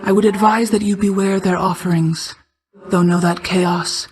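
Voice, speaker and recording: A woman voicing a regal, ageless, motherly goddess of night in a video game, speaking in formal, measured, archaic phrasing. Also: medium pitch, steady tone, a little mellow, natural voice. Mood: solemn, guarded. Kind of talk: scripted video game voice acting.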